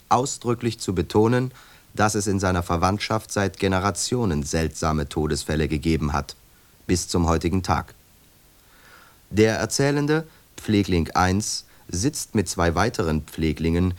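A man reading the stage directions of a radio play in a measured, formal way. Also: sits low. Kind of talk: scripted radio drama narration.

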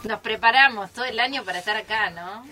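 A woman's voice: on the high side, fast talker, very noisy. A woman guest from a drama troupe chatting spontaneously and explaining things, often checking for agreement. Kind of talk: spontaneous group interview chat.

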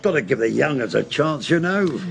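Radio drama: jovial English copper, gravelly, rather high for a man, lively swoops, chummy slang. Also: normal speed. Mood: teasing. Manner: matey, colloquial.

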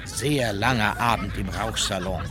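Man as a low-voiced, sober chronicler. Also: measured pace, natural voice. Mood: matter-of-fact. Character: sober male documentary-style chronicler. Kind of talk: scripted radio play narration. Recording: loud music behind.

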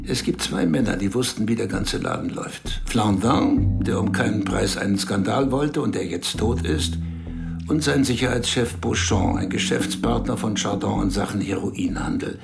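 An actor playing a calm, menacing male spymaster who questions a subordinate in a radio drama. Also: lowish voice, normal speed, gravelly. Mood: cold. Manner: calm, cold, interrogating. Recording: studio radio play with music behind.

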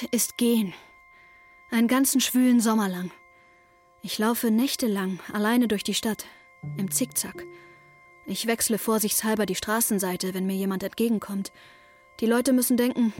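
A woman's voice: on the high side, darkish tone, natural voice. An actress playing a restless, introspective woman in her twenties, narrating a radio drama as an intimate inner monologue. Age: 20s.

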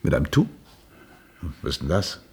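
A man's voice: normal speed, warm tone, close to an everyday voice. An actor in a comic radio play playing a flustered, stammering astronaut, a fussy civil servant nearing his pension. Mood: puzzled, fussy. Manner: stammering, hesitant, fussily exasperated.

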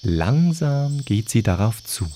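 A man's voice: mid-range voice, sing-song, slow pace, warm tone, slight background noise. A man narrating a scripted fairy tale as the storyteller of an audio drama.

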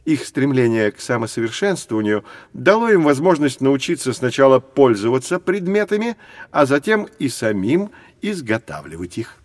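A man playing a patient, learned guide who teaches children in scripted educational audio narration, didactic and measured. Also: mid-range voice, very animated, no echo, lightly acted. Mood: explaining.

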